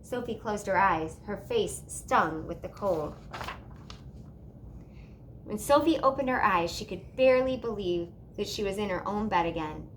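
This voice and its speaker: A woman reading a children's storybook aloud in an expressive narrating style. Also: mid-range voice, unhurried, slight background noise, rough recording. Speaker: storybook reader.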